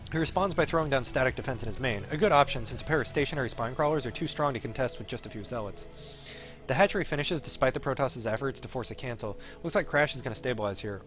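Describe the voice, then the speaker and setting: A man's voice: mid-range voice, fairly bright tone. A man reading a visual novel aloud on a stream, in dramatic narration with an esports commentary flavour. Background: faint game music.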